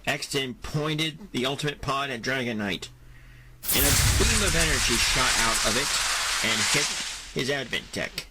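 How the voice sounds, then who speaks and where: A man's voice: medium pitch, lively intonation, normal speed, background noise. A man narrating a scripted action audio drama as its storyteller. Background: music.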